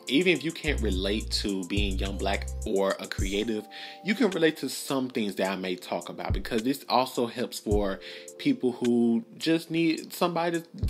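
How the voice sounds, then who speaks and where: A young man hosting his own podcast alone, talking earnestly and rambling with many restarts and fillers. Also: mid-range voice. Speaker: podcast host. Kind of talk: solo podcast monologue.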